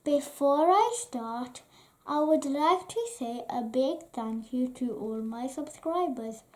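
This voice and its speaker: Very slow, high-pitched, animated storybook reader. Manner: animated, expressive, deliberate storytelling. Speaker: children's storybook reader and channel host. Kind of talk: read-aloud bedtime story narration.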